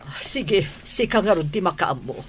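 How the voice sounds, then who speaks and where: A woman's voice: fairly low pitch, lively intonation, normal speed, slight background noise, close to an everyday voice. A woman playing a mother who gives in to her son's marriage in an Ilocano radio drama. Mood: emotional, resigned.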